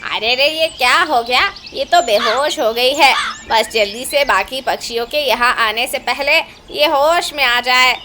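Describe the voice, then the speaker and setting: A woman's voice: high-pitched, a little slow, hardly any pauses, background noise. A woman voicing a put-upon, complaining male crow in a cartoon, full of exclamations. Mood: flustered. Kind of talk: Hindi cartoon voice acting.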